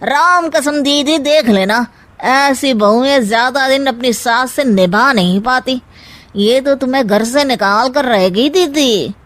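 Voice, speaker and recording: A woman voicing a scheming, meddling uncle in an animated comedy story, with a sly, wheedling, drawn-out delivery. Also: sits high, no room sound. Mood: sly, goading.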